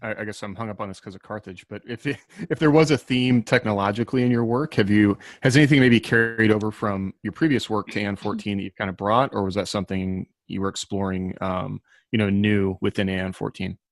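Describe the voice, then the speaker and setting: A man hosts a podcast and puts casual questions to a guest. His voice sits fairly low, and the recording is dry, with no room sound around it. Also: fairly even intonation, fairly quick, no room sound. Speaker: podcast host, interviewer.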